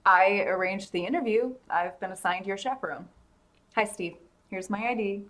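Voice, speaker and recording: Audio drama: actress as businesslike female staffer, brisk, direct, average pitch. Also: fairly bright tone, natural voice. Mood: composed. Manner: brisk, frank, in control. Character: self-assured, businesslike female government aide. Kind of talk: full-cast audio drama acting.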